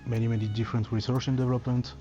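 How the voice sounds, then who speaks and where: A man representing a cable company in a trade show interview, speaking haltingly with many fillers as he searches for words. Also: mid-range voice, little pitch movement, mellow, highs cut off, faint music behind.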